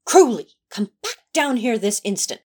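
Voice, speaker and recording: Woman voicing a fussy male angel, high voice, animated, prim, exasperated, fan audio drama. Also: normal speed, slightly rough. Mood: pleading, firm. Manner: prim, scolding.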